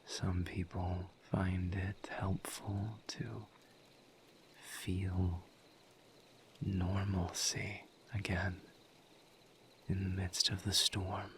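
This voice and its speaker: Man voicing a very slow, low, whispering demon. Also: a little hiss or hum, exaggerated voice. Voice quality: breathy, mostly whispered. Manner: slow, deliberate, halting with long pauses. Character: gentle, patient male misery demon offering solemn comfort. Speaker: audio roleplay voice actor. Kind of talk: intimate whispered audio roleplay.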